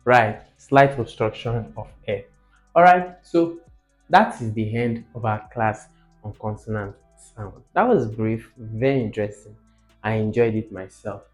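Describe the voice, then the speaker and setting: A man's voice: medium pitch, darkish tone. A man teaching informally as a video host, emphatic, repeating key words and putting rhetorical questions to the learner.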